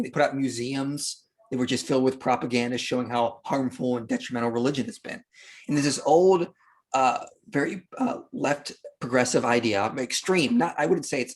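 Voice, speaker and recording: A man's voice: mid-range voice, slightly rough. Emphatic, opinionated man on a podcast. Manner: emphatic, opinionated, dismissive of opposing claims. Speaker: podcast guest. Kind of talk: casual argumentative explanation of history.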